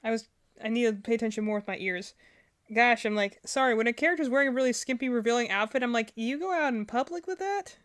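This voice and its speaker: A woman's voice: fairly high pitch, fast talker, fairly bright tone. A woman giving casual, unscripted reaction commentary as a streamer, rambling and thinking aloud as she goes. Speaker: streamer reacting to game videos.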